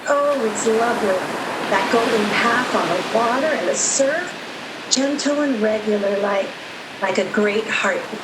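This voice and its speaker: Woman acting high-voiced lovestruck young woman, roomy sound. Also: unhurried, close to an everyday voice. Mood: dreamy. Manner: emotive, dramatic repetition. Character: lovestruck, proud young switchboard operator, heartbroken. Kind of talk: 1930s radio drama acting, melodramatic. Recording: roomy echo, background noise.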